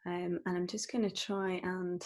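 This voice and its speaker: A woman with a Scottish accent, a mindfulness coach leading a live-streamed guided session in a gentle, encouraging way with frequent ums. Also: fairly low pitch, flat delivery, moderate pace. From Scotland.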